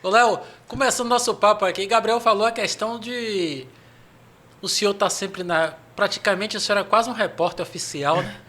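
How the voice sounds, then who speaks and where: A man hosts a casual podcast in a slightly higher voice. His delivery is friendly and upbeat, like an announcer warming up a chat. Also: normal speed. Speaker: podcast host. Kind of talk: casual podcast hosting, reads sponsor spots.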